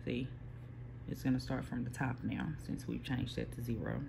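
A person casually explaining printer software step by step, with frequent pauses and restarts, recorded on a phone aimed at a computer screen. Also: medium pitch, level tone, creaky, noisy background. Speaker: small print shop owner giving a software tutorial. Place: indoor workspace at a computer. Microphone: phone filming a computer screen.

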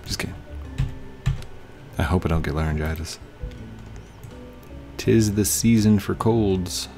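A man's voice: deep voice, unhurried, dark tone, soft background music. A man in his thirties with a slightly tired voice, casually commenting on a building game he plays, recorded on a headset at home. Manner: chatty, talks to game objects, hums while working. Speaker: gaming channel host. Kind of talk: casual let's play commentary. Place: home room, indoors.

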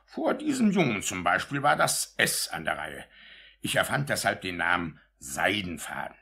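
An actor playing a pompous, officious and easily outraged parish official in a 1950s German radio drama. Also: male voice, low-pitched, wildly swooping pitch, moderate pace, gravelly, pushed and bright, heavily acted. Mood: self-important. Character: pompous, self-important male parish official in old England.

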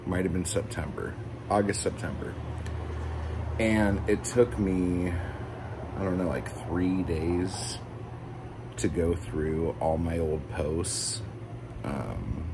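A man in his forties talks into a phone camera held low in a room at home, sounding tired and down. His voice is extremely deep and gravelly, and he speaks slowly. The recording carries a steady hiss of background noise. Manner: rambling, frustrated, swears freely. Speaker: poet vlogger. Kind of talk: casual confessional vlog. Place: indoor room with ceiling fan. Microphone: phone camera held low, close.

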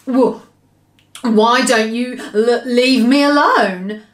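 A woman's voice: fairly high pitch, expressive, moderate pace, lightly acted. A woman voicing a timid, nervous male giant in a children's storybook, flustered and pleading, in an echoey room. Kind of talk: children's storybook read-aloud character voice.

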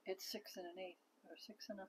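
A woman's voice: mid-range voice, little pitch movement, fairly quick, slightly rough. A woman helping her husband off camera, making short practical remarks in a casual chat outdoors. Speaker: host's wife helping off camera. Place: outdoor patio.

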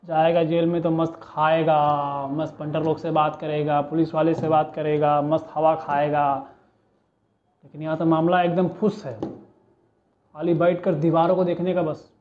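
A man's voice: fairly high pitch, little pitch movement, unhurried, lightly acted. A man acting a cheeky small-time crook with a Mumbai street accent, bargaining and pleading in a police interrogation monologue. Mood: bored, grumbling. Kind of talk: audition monologue, film-style street-slang acting. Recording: muffled, narrow phone-like sound.

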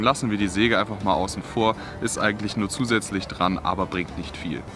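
A young man giving a casual hands-on review of outdoor gear, outdoors in a bare forest, thinking aloud as he tries things out. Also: mid-range voice.

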